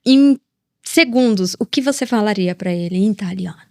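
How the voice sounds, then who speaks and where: A woman's voice: mid-range voice, animated, moderate pace, darkish tone, no echo, studio quality. A woman with a Brazilian Portuguese accent hosting a casual podcast interview in an informal, playful, inquisitive way. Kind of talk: casual chat.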